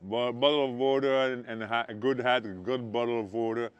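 A man from Belgium, a pilgrim, searching for words in slow, broken phrases outdoors on a lapel mic. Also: medium pitch, steady tone, clear, resonant tone, dry recording, muffled recording. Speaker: interviewee, pilgrim. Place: outdoors sitting by a stone wall.

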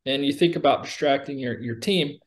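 A middle-aged American man speaks on a video call as an executive being interviewed. He explains his product in a conversational pitch, step by step, often tagging points with a checking "right?". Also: brisk. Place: indoor room, on a video call.

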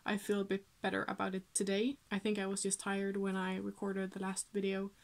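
A woman's voice: medium pitch, completely flat delivery, a little fast, gravelly. A young woman from Sweden with a Swedish accent, chatting casually to camera about books in a small room at home, with frequent fillers and small laughs. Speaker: book vlogger.

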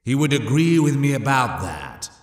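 A man voicing a calculating, burdened corporate lord's inner thoughts, measured and brooding, in a science fiction audiobook, with a reverb effect. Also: medium pitch, sing-song. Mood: grim, resolute. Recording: echoing long reverb.

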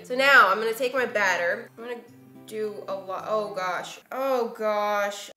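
A woman in her twenties chats casually as she hosts a home baking video, self-deprecating and playfully exasperated. Her voice is bright and on the high side. It echoes a little in the kitchen, with light music behind. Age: 20s. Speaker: host of a baking video series. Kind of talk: casual chatty how-to vlog. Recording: roomy kitchen echo. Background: background music.

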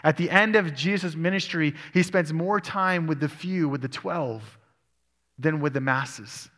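A man's voice: on the high side, measured pace, a bit bright. Man preaching in a reverberant church. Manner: expository preaching, asks the congregation questions. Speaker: pastor. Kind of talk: sermon. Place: church sanctuary. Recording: long church hall reverb.

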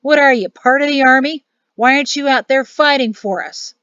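A woman voicing a proud, gruff elderly man in an audiobook, blustering and emphatic. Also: sits high, normal speed, fairly bright tone, no room sound, highs cut off, voice pushed up. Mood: indignant, blustering. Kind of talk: acted audiobook dialogue.

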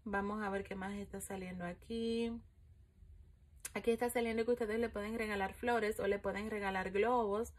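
A woman's voice: medium pitch, fairly even intonation, normal speed. A woman giving a casual spiritual fortune reading at home, filling gaps with "eh" and "um" and checking in with "¿ok?" again and again. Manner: chatty, many fillers, frequent "¿ok?" check-ins. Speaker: coffee-ground and tarot reader. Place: home room with candles and an altar, indoors. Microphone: phone camera over the table, speaker off screen.

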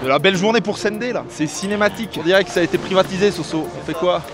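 A man's voice: high voice, very fast, over music. A man joking and exclaiming as he skis outdoors, filmed on a helmet-mounted action camera. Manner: joking, exclaiming, swearing playfully. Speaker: freeride skier filming with friends. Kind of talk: excited casual commentary while skiing. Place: outdoors on snowy ski slopes.

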